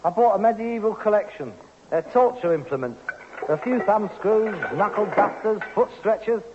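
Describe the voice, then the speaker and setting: An actor playing a frustrated, self-important London Cockney son with grand ambitions in a 1960s radio sitcom. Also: male voice, sits high, expressive, normal speed. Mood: pompous. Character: frustrated, pretentious rag-and-bone man son with grand ambitions. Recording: dull, noisy 1960s radio recording.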